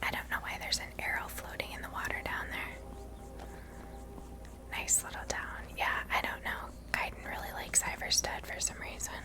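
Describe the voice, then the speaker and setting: A woman softly whispering ASMR commentary as she plays a video game, gently musing aloud. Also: normal speed. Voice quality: soft breathy whisper. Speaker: host playing a video game.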